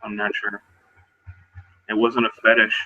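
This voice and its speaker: A man playing a weary, guarded but determined werewolf survivor in a tabletop roleplay, speaking haltingly and low-key. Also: monotone, pushed and bright, highs cut off. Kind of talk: in-character tabletop roleplay dialogue.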